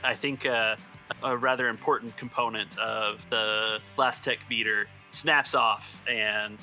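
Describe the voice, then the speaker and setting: A man working as game master, narrating improvised scenes descriptively and a little haltingly. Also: lowish voice, steady tone, sharp tone, soft background music.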